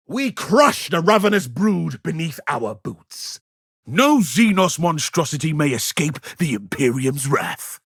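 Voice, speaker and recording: An actor playing a stern, righteous space-marine warrior in a video game, delivering pompous battle declarations in a gravelly, husky voice. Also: male voice, on the high side, a bit bright, no room sound, clean studio sound, heavily acted.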